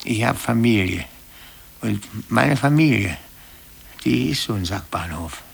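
An actor in a radio play voicing an embittered, brooding middle-aged office clerk who speaks haltingly and repeats himself. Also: male voice, fairly low pitch, lively intonation, creaky, breathy edge, heavily acted. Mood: weary, brooding. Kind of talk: 1950s German radio play acting.

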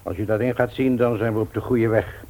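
An actor playing a fussy, paternal older uncle in a Dutch radio play, halting and repeating himself. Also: male voice, lowish voice, steady tone, mellow, a little hiss or hum, close to an everyday voice, poor audio. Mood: stern, concerned. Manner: halting, muttering repetitions, paternal. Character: older uncle, fussy senior bookseller, sceptical mentor. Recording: radio drama recording.

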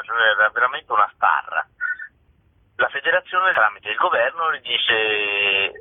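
A man, a theatre director being interviewed, telling a story spontaneously and a little haltingly over a narrow phone line. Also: medium pitch, slightly rough, dry recording.

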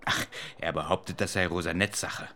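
A low-pitched male painter in a radio play. He is pushy and aggrieved, wheedling his customer and bristling with indignation. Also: steady tone, a little fast, bright, forceful tone. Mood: indignant.

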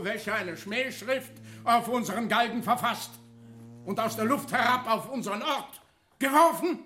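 An actor playing a theatrical town councillor in a radio drama, declaiming with gleeful mock solemnity. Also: male voice, sits high, fairly bright tone. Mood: accusing. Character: theatrical councillor reading a mock-solemn gallows oration aloud. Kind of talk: German radio drama acting.

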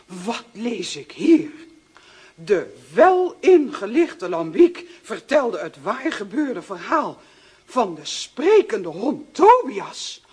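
A bossy middle-aged aunt in a children's radio play, husky and theatrical. Her slow, lively delivery leans indignant and grand. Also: medium pitch, exaggerated voice.

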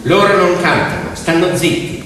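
A man telling stories theatrically, in an earthy, colloquial and animated way. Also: on the high side, normal speed. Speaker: stage storyteller and actor. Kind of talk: theatrical storytelling with music, two-hander. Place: large reverberant performance space, distant mic. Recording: echoey hall, distant, loud music underneath. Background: loud music.

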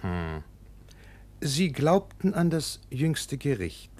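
A man's voice: mid-range voice, sing-song, takes it slowly, darkish tone. An actor in a German radio play playing a matter-of-fact, easily exasperated businessman who defends himself. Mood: measured, dry.

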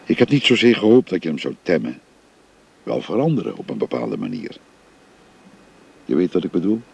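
A gravelly, very deep-voiced old father. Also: huge pitch swings, normal speed, darkish tone, full character voice. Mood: gentle, confiding. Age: older. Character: older father telling his family history. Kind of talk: radio drama acting. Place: recorded on location.

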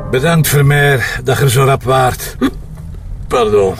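An actor playing a gruff, wry middle-aged police commissioner with a Flemish accent in a radio crime drama. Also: male voice, medium pitch, slow, far from the mic, loud music behind the voice. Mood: gruff, guarded.

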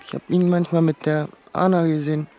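A man's voice: fairly high pitch, flat delivery, fairly quick, dark tone, natural voice. Actor as evasive male witness amid chatter. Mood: reluctant, confiding. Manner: hesitant, vague. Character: evasive, reluctant male witness gossiping to police. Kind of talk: German radio drama acting.

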